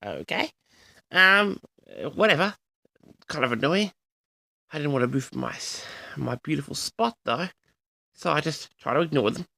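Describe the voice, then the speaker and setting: A man telling a story to his audience as a vlog host, in a theatrical, indignant manner with dramatic pauses. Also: fairly high pitch, lively intonation, creaky, pushed and bright, no echo. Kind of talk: storytelling monologue addressed to the audience.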